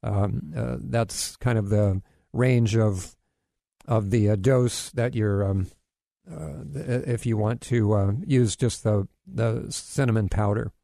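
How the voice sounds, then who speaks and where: A man's voice: fairly low pitch, slow, creaky, mellow, dry recording, studio quality. A man from Hawaii hosting a casual radio health segment, rambling with many fillers and restarts and corny jokes. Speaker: radio health show host.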